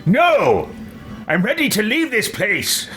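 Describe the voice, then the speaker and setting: Man putting on a slow, theatrical, imperious inquisitor. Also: sits high, wildly swooping pitch, music in the background, pitched above the performer's own voice, heavily acted. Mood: exasperated. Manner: theatrical, swinging from suave to exasperated. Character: imperious, fed-up, scheming male fantasy inquisitor. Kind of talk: roleplay voice for a video game.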